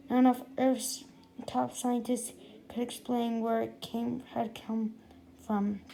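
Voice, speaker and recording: A halting narrator reading a children's book aloud, often repeating phrases and slipping into casual asides. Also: medium pitch, gravelly, mellow, slight background noise, rough recording.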